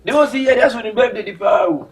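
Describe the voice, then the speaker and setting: A man's voice: high voice, fast. A Ghanaian man with a Ghanaian accent teasing and joking in a comedy sketch. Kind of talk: comedy banter at a party. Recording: crowd chatter behind.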